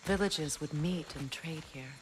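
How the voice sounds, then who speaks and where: An actress playing a proud, wary goddess in a video game, speaking in a curt, formal and commanding manner. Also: female voice, deep voice, measured pace, noisy background. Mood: bitter, wary. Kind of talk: dramatic video game acting.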